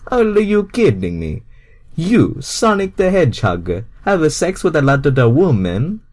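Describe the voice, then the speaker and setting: Man doing an excitable game plumber, raised pitch, exclaiming, very swingy intonation. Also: measured pace, full character voice. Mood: astonished. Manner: exclaiming, astonished, stilted phrasing.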